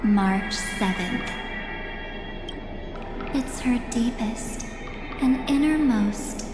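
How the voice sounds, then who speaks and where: Menacing memory keeper, video game, seductive, grandiose, higher-pitched, breathy endings, echoey. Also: female voice, extremely slow. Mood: seductive, commanding. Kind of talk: theatrical video game voice acting. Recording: echoey long reverb under game music.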